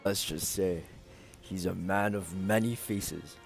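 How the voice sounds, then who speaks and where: A man playing a smug, defiant hired gunman in an amateur radio play, taunting in a drawn-out way. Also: low-pitched, vocal fry, over music, exaggerated voice.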